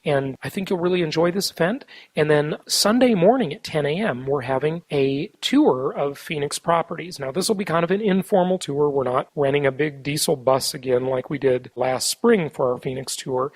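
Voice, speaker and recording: Hoarse man hosting with a cold. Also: fairly high pitch, no echo. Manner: chatty, promotional, conversational. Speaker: podcast host. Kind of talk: solo show intro and announcements.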